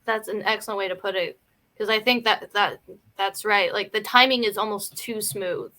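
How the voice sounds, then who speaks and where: A woman's voice: mid-range voice, steady tone, moderate pace, sharp tone. A woman talking casually about movies as a panelist on a review show. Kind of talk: casual film discussion.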